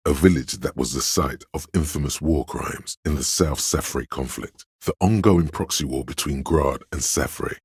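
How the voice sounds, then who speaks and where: An actor voicing an erudite, worldly inner voice of knowledge in a video game, narrating facts in a measured, dry, scholarly way. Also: male voice, rumbling low, creaky, a little mellow, husky, no room sound, heavily acted.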